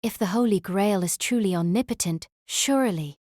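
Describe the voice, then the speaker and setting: A woman's voice: mid-range voice, normal speed, a little mellow, dry recording, clean studio sound, close to an everyday voice. A woman playing a proud, earnest young knight king spirit in an audio drama, haughty and formal in her delivery. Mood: solemn pride.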